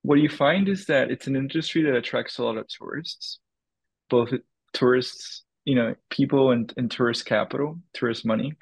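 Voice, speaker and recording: A man's voice: mid-range voice, normal speed, vocal fry, husky, no room sound. A man from the Dominican Republic, a crypto startup founder, answering interview questions in a thoughtful way with many fillers and restarts.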